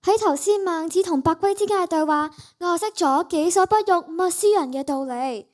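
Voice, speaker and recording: A girl performs an excitable primary schoolgirl in a radio play. The voice is high and young, with a Hong Kong Cantonese accent. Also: moderate pace. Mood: remorseful, earnest. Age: child, primary school student. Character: excitable schoolgirl, first mocking, later remorseful. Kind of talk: school radio drama acting.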